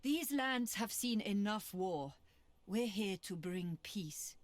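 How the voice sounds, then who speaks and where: A woman's voice: mid-range voice, animated, slow, no echo. An actress playing a resolute female knight in a video game, composed and earnest in her delivery. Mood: earnest appeal. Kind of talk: video game cutscene acting. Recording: prominent music behind the voice.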